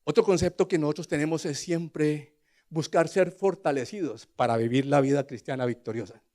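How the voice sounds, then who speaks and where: A man in his sixties with a Colombian accent preaching a sermon, telling scripture as a dramatic story and moving between hushed asides and loud, emphatic declarations. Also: a little high, normal speed. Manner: rhetorical questions to the congregation.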